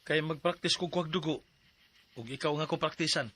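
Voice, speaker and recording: A blunt young guy in med school, as a woman puts him on in an audio drama. the voice sits much lower than her own and sounds a little distant. he gives his orders flat, with no softening. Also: brisk, very noisy, natural voice. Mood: insistent. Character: young male medical student, boss's son.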